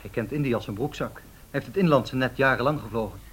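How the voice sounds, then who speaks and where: A dutiful airline pilot in a radio play. He answers his superior quickly in a husky voice, clipped, respectful and factual. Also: mid-range voice, a bit bright. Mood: respectful, businesslike. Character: crisp, loyal, matter-of-fact male airline pilot.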